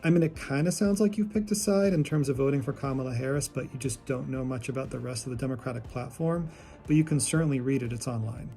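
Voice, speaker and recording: A man's voice: medium pitch, steady tone, quick pace, vocal fry, mellow, faint music behind. A man giving casual political reaction commentary in a condescending way.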